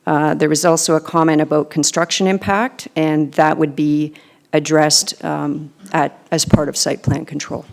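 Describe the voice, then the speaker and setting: Gravelly-voiced Canadian woman presenting. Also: low voice, level tone. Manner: measured, many fillers. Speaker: planning consultant presenting. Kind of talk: formal presentation and answers.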